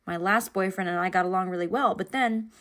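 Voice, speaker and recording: A woman's voice: lowish voice, brisk, a bit bright, natural voice. A woman playing an anxious young cartoon passenger who overshares in a rambling, candid, self-deprecating, naturalistic way. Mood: anxious, confessional. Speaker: passenger in an animated series. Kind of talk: naturalistic confessional conversation.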